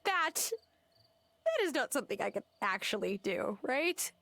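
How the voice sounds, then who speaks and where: A woman's voice: sits high, sing-song, a little slow, fairly bright tone, no room sound, exaggerated voice. A woman acting a young novice witch in a video game, eager and wry, with a hesitant, questioning, incredulous manner.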